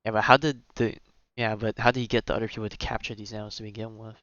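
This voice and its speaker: A man giving casual live-stream commentary on a video game, thinking out loud and reading game text in muttered asides. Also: lowish voice, flat delivery, rapid-fire, no room sound, dull audio.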